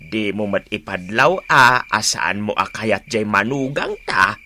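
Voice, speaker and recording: A nosy father-in-law prods insistently in a bright, sharp voice with lively swings of pitch and an Ilocano Filipino accent. Faint noise and crickets sit behind this radio drama scene. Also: unhurried, heavily acted. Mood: coaxing. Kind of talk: Philippine radio drama acting.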